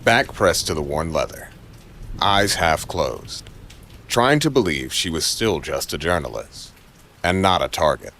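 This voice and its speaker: Male noir storyteller, very low register, brooding and clipped, dramatic audio drama read over faint rainy ambience. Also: lively intonation, unhurried, fairly bright tone, a little hiss or hum. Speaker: narrator.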